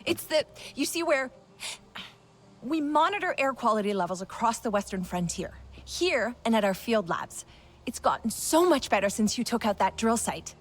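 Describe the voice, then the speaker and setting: A woman playing an eager, committed human resistance scientist in a video game, chatty and expressive. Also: fairly high pitch, moderate pace, soft background music. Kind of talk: naturalistic video game acting.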